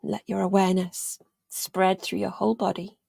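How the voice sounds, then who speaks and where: A woman leading a guided meditation in a gentle, soothing, unhurried way, leaving long pauses and letting phrases trail off almost to a whisper. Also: medium pitch, little pitch movement, gravelly, dry recording, clean studio sound. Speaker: meditation guide, yoga teacher.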